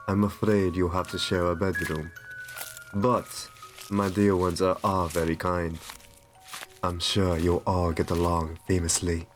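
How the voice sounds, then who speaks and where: Male actor as doting aristocratic vampire, ASMR roleplay. Low-pitched, unhurried, soothing and genteel, over music. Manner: gentle, reassuring, genteel endearments. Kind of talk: ASMR roleplay, scripted intimate monologue.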